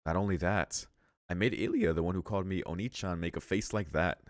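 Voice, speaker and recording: A man reading a visual novel aloud as a let's play host, in measured narration with casual, slangy asides. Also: deep voice, no room sound, clean studio sound. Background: music.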